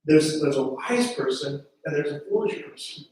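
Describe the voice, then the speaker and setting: A man's voice: a little high, lively intonation, normal speed, darkish tone. A man preaching a sermon as pastor, earnest, often repeating words as he starts a phrase. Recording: very echoey room from a distance.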